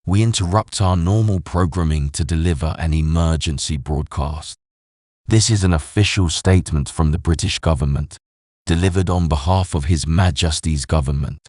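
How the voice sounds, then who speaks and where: A man with an extremely deep voice delivers an official emergency announcement. He is solemn and measured, with formal scripted phrasing. The sound is dry and close. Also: a little mellow, no echo. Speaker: news announcer reading an emergency broadcast.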